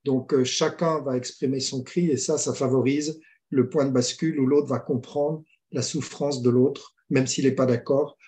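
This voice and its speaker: Hesitant French mediator, mellow voice. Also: male voice, mid-range voice, steady tone, moderate pace. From France. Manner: hesitant, many restarts and fillers, storytelling. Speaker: mediator guest. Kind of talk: conversational interview.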